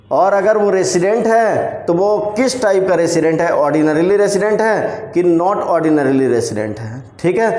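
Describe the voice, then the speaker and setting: An Indian man gives a commerce lecture in a fairly high voice, going slowly and repeating each point so students can follow. His voice rings with the echo of a bare room. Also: a little mellow. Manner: explains slowly, repeats points, frequent "theek hai" check-ins. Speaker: commerce teacher. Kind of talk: classroom lecture on tax rules. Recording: roomy echoey room sound.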